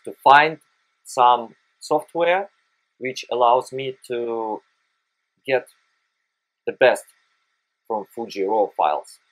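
Slow, halting Russian-accented man. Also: mid-range voice, a bit bright, poor audio. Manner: hesitant, frequent "uh" pauses, careful non-native phrasing. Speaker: photography tutorial host. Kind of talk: screen-recorded software tutorial.

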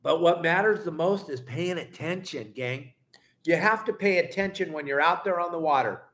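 Middle-aged man, seasoned fishing guide, casual and emphatic lesson to camera, slightly high voice, recorded at home. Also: a bit bright. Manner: folksy and emphatic, addresses viewers as gang. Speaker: fishing guide and boat captain. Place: indoor room at home.

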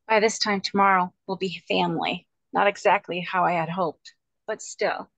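A woman's voice: on the low side, steady tone, unhurried, vocal fry, a bit bright, no echo, heavily acted. A woman playing a wistful, guarded woman in love in a screenplay table reading over video call.

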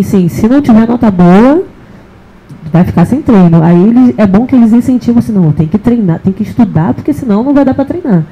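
A woman's voice: mid-range voice, muffled recording. A woman who runs a jiu-jitsu project, telling a story on an indoor event stage. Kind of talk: panel discussion.

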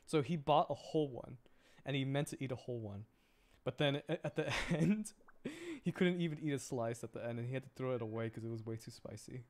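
A man's voice: medium pitch, brisk, a little mellow. A young man streaming a video game, chatting casually and rambling, often repeating words as he thinks aloud.

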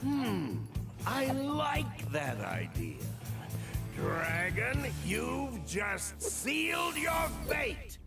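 An actor voicing a small, scheming male sorcerer villain in a video game, gloating and shouting. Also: extremely high pitch, wildly swooping pitch, very slow, creaky, full character voice. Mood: sneering. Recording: game audio with music behind.

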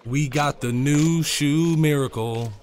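A young man chatting casually on a livestream, excitable and slangy, swearing freely. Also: a little high, takes it slowly. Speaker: gambling livestreamer. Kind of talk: casual livestream chat and reaction. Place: indoor room at a streaming setup.

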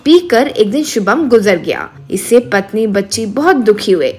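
A woman's voice: mid-range voice, very animated, moderate pace, husky, soft background music. A woman narrating a scripted storybook tale as the narrator.

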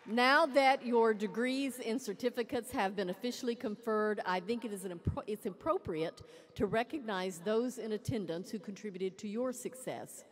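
A woman's voice: medium pitch, normal speed, few pauses. Woman, college president, formal proclaiming delivery, lectern mic, long arena reverb. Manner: ceremonial, proclaiming. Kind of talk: formal commencement address. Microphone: lectern microphone.

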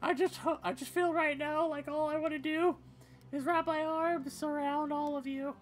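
A woman reading video game dialogue aloud in an expressive, conversational style. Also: high voice, normal speed, soft background music. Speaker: game streamer voicing characters.

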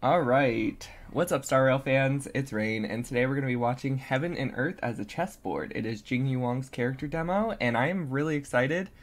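An excitable content creator giving casual, gushing, slangy reaction commentary on a game. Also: mid-range voice, moderate pace, few pauses, a little hiss or hum. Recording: music behind the voice.

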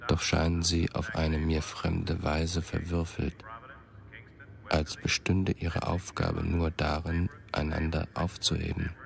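An actor playing a brooding first-person narrator in a radio drama, speaking in a measured, subdued, introspective way. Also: male voice, a bass voice, completely flat delivery, vocal fry, heavily acted. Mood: brooding, detached.

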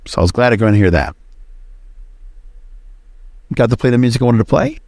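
A man's voice: medium pitch, animated, a little fast, darkish tone, no room sound, studio quality. A man hosting a solo podcast, talking casually in a rambling, conversational way. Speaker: podcast host.

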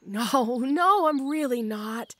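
Shy tomboy orc schoolgirl, played by a woman, high-pitched, close dry sound, hesitant and bashful, audio roleplay. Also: animated, takes it slowly, no echo, exaggerated voice. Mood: flustered.

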